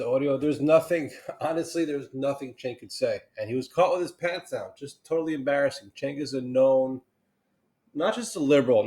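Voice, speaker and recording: A man's voice: medium pitch, darkish tone. A man giving emphatic, disparaging political commentary in an opinionated style.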